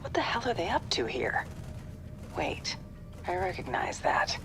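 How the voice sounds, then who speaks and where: A woman's voice: lowish voice, big pitch swings, measured pace, loud music behind the voice, rough recording. An actress playing a cool, determined female covert ops soldier in a video game cutscene. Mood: focused, wry.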